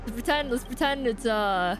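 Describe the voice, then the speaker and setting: A woman's voice: fairly high pitch, expressive, normal speed, over music. A woman live streamer giving casual, joking, sweary reactions while playing a game. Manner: playful, joking, swears freely.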